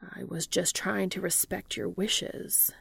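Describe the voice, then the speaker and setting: Woman voicing a calm, low-voiced gentle man. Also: slow pace, vocal fry, breathy edge, no echo, full character voice. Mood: earnest. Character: gentle, earnest male lover in fan fiction.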